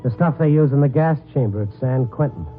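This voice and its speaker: An actor playing a grim, wry newspaper reporter who narrates a noir radio drama in a brooding, clipped, dramatic way. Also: male voice, medium pitch, fairly quick, faint music behind, lightly acted. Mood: grim, urgent. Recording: tinny 1950s radio broadcast recording.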